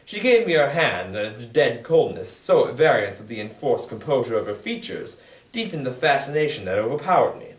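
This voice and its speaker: A young man reads literary prose aloud in an echoey room, a little away from the microphone. His delivery is earnest and formal, with a lively, expressive range of pitch. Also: fairly quick, far from the mic. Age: young adult. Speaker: student reader. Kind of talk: dramatic literary reading, scripted narration.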